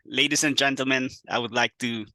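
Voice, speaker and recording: A man's voice: mid-range voice, steady tone, fairly quick, no echo. A male podcast host giving an emphatic, announcer-style introduction of his guest, with many "uh" fillers. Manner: loud, emphatic announcer-like delivery.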